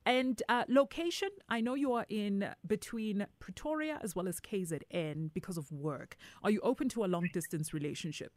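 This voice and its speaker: A woman's voice: medium pitch, normal speed, hardly any pauses, darkish tone, dry recording. A South African woman hosting a radio dating segment, teasing and mixing English with Zulu. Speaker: radio host. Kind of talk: casual radio chat. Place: radio studio.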